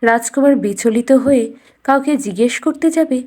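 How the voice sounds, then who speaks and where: Female storyteller for scripted fairy tale narration, fairly high-pitched voice. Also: moderate pace, a little mellow.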